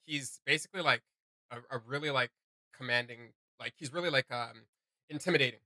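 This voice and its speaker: Bright-voiced young man chatting casually. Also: medium pitch, no room sound. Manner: halting, informal, searching for words. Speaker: reaction video co-host. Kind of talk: casual excited reaction chat.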